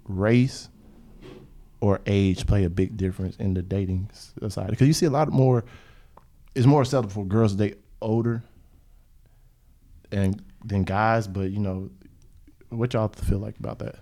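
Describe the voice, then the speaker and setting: A man's voice: on the low side, moderate pace, pauses often, warm tone. A man hosting a casual podcast chat, joking, swearing freely and laughing often. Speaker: podcast host.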